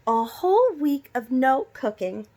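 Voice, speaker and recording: A woman voicing an upbeat, reassuring mother in a storybook, with emphatic, drawn-out phrases. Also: high voice, big pitch swings, mellow, voice pushed up, full character voice. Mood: delighted.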